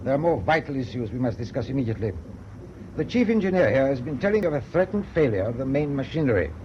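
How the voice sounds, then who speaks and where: An actor playing a stern, proud German naval captain in a radio drama, speaking in a clipped, formal, authoritative way. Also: male voice, mid-range voice, big pitch swings, fast talker, close to an everyday voice. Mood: brusque, businesslike. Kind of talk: BBC radio drama acting. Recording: dull, noisy old radio broadcast tape.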